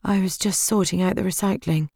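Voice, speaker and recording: A British woman voicing a small, timid middle-aged mother in an audiobook, soft, quiet and apologetic. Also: on the low side, steady tone, a little fast, gravelly, breathy edge, no room sound, clean studio sound, voice pushed up. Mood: meek.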